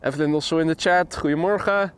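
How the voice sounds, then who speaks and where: A man's voice: fairly high pitch, fast talker, clear, resonant tone. A man with a Dutch accent hosting a live plane-spotting stream outdoors, chatting casually with viewers and laughing often. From Netherlands. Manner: chatty, laughs often, reads chat aloud. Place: outdoors at an airport.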